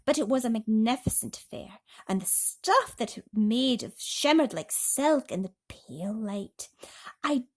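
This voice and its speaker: A woman narrating a bedtime story for children as an expressive storyteller. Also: on the high side, unhurried, few pauses.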